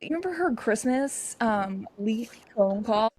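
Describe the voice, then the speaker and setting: A woman's voice: a little high, animated, a little mellow, slightly rough. Sarcastic chatty podcast co-host woman. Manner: chatty, sarcastic, quick asides. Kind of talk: comedic casual chat.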